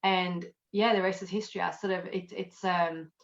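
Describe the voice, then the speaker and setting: A woman with a South African accent, chatting casually as an interview guest, self-deprecating and quick to laugh. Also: lowish voice, fairly even intonation, highs cut off. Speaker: guest, accountant and network marketer.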